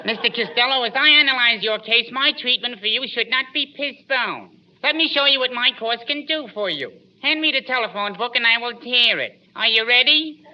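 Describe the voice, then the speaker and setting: Weakling strongman, extremely high voice, earnest feeble boasting, muffled old radio sound. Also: animated, moderate pace. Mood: feebly boastful. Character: puny, meek male strongman boasting of muscles. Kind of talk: 1940s radio comedy acting. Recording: muffled, noisy 1940s radio broadcast.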